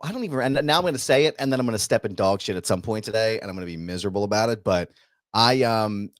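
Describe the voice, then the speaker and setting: A man turning forty who hosts a casual show, upbeat and quick to laugh. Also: fairly low pitch, no room sound, studio quality. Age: 30s. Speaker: host. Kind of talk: casual chat.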